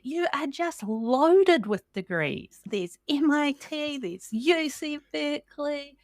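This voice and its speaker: A woman's voice: sits high, no room sound. A middle-aged woman with a New Zealand accent, hosting a podcast interview in a friendly, chatty, informal way. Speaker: podcast host.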